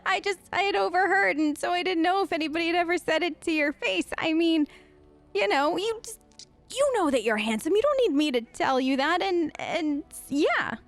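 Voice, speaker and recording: A woman improvising in-character role-play chat for a let's play, casual and halting. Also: very high-pitched, animated, soft background music. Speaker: let's player role-playing game dialogue aloud.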